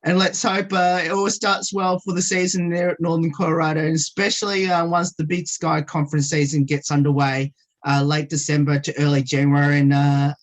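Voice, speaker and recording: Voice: mid-range voice, level tone, brisk. A radio show host thanking guests on a video call, speaking in a friendly way with many hesitant fillers. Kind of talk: interview sign-off.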